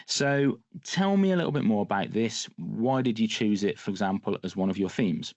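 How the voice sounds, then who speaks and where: A man's voice: on the low side, expressive, moderate pace, a little mellow, no echo. A man hosting a podcast, welcoming his guest and asking questions with upbeat encouragement. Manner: enthusiastic, encouraging interviewer. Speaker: podcast host. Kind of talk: podcast interview.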